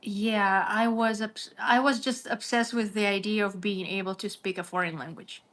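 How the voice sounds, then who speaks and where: A young woman from Russia, a native Russian speaker with a light Russian accent, answering as an interview guest, candid and quick to laugh. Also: mid-range voice, steady tone, fairly bright tone. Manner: laughs easily, candid and short-spoken. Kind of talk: casual interview conversation.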